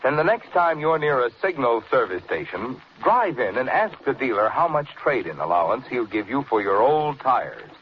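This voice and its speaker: A man working as a radio announcer, delivering a 1940s-style advertising pitch. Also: sing-song, moderate pace, hardly any pauses. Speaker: radio announcer reading sponsor message. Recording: muffled, faintly noisy old radio recording.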